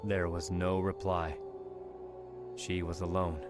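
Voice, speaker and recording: A man narrates an audiobook with a measured, dramatic delivery. His voice sits low, and a music score plays clearly behind him. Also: little pitch movement. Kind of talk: scripted narration.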